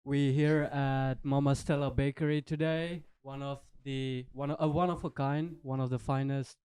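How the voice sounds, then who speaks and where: Flat, mellow young host, man. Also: mid-range voice, normal speed, smooth. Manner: rambling, upbeat. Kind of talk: casual interview hosting. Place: bakery.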